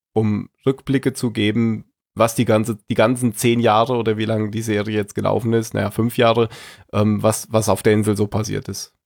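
A man with a cold talks through a TV-series recap in a loose, chatty way, with a lowish, gravelly voice. He chuckles often and stops on fillers. The sound is close and dry, with no room echo. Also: a little mellow. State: has a cold. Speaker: podcast co-host. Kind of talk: casual TV-series recap chat.

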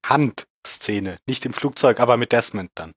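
Man, cold, mid-pitched voice, plain retelling, dry close sound. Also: moderate pace, husky, no echo. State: has a cold. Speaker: podcast co-host. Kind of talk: casual TV-series recap chat.

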